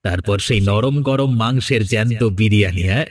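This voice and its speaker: A man voicing a menacing, vengeful undead cannibal sorcerer in a Bengali horror cartoon, with a theatrical threatening manner. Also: fairly low pitch. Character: menacing flesh-eating undead sorcerer ghost, vengeful father.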